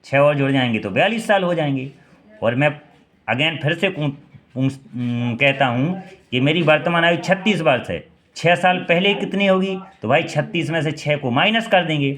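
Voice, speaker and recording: A man's voice: a little high, measured pace, fairly bright tone. A man in his thirties from India teaching an exam-prep maths lesson, quizzing the listener and answering himself, repeating key steps. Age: 30s.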